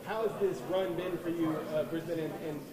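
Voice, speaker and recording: A man's voice: fairly high pitch, fairly quick. A man interviewing players at a school media day, upbeat and chatty. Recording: echoey room with crowd noise.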